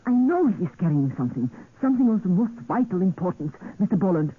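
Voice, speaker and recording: A woman's voice: fairly low pitch, very animated, quick pace, slightly rough. An actress playing a scheming female secret agent, urgent and dramatic, in an old-time radio drama. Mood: secretive, intense. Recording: tinny, narrow-band vintage radio recording.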